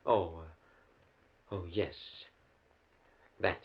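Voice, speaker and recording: An actor playing a nervous, principled professor, hesitant and earnest, in the style of a 1940s radio thriller. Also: male voice, low voice, fairly even intonation, drawn-out words, breathy edge, heavily acted. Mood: flustered, uneasy. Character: nervous, principled male college professor being blackmailed. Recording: dull, lo-fi old radio recording.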